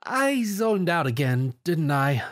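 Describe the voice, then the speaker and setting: A man's voice: fairly high pitch, big pitch swings, takes it slowly, voice pushed up. A man voicing an earnest, brainy young female pony princess in a story. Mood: thoughtful.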